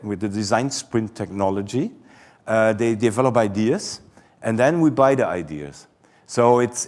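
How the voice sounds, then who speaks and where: A Belgian man answering audience questions after a conference talk, often hesitating and repeating words before he warms up. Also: mid-range voice. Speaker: conference presenter.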